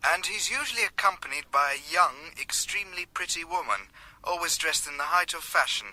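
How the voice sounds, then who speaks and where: Very sharp, keen British junior detective. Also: male voice, a little high, lively intonation, a little fast, no echo, poor audio. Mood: eager, businesslike. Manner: crisp, dutiful reporting. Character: keen, efficient junior male detective reporting to his chief. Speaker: actor in a radio drama. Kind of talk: British radio drama acting.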